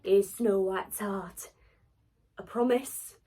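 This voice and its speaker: A woman voicing a vain, wicked storybook queen in a theatrical, imperious, drawn-out manner. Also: mid-range voice, mellow, breathy edge. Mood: menacing.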